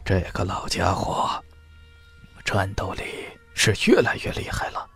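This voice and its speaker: A man voicing a scheming, wheedling old male cultivator with a croaky, drawn-out delivery for a fantasy audiobook. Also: sits low, fairly even intonation, a bit bright, faint music behind, pitched below the performer's own voice, exaggerated voice. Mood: muttering scheming.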